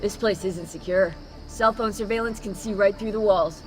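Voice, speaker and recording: A woman's voice: mid-range voice, moderate pace, darkish tone, close to an everyday voice, rough recording. Actress as blunt young tech woman. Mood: edgy. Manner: blunt, informal. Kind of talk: naturalistic video game cutscene acting. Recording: clear music underneath. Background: music.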